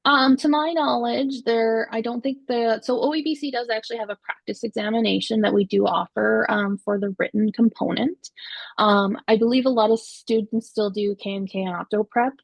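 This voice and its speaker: A woman's voice: a little high, steady tone, normal speed, gravelly, no echo, low-quality recording. A young woman, an optometrist and exam board member from Canada, answering students' questions on a video call in a friendly, informal way. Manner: friendly, informal, with laughs and fillers.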